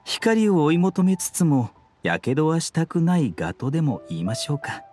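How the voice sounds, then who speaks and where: An actor playing a serene, idealistic young male physician in a game, speaking in polite, measured, philosophical phrases. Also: fairly high pitch, big pitch swings, darkish tone, faint music behind. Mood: composed, determined. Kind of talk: scripted game dialogue.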